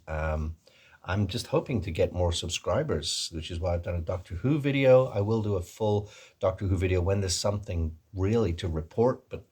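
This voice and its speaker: A man putting on an American accent as a rambling, self-deprecating video presenter with a husky voice, in a casual vlog style. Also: deep voice. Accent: put-on American. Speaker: video host and actor.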